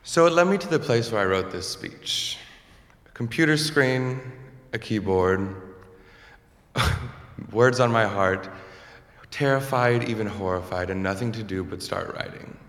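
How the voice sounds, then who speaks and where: A young man, a student with a low voice, telling a personal story to an audience in an earnest, candid way. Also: lively intonation, unhurried, pauses often, a bit bright. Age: young adult. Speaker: student speaker. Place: lecture hall. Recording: echoey hall with long reverb.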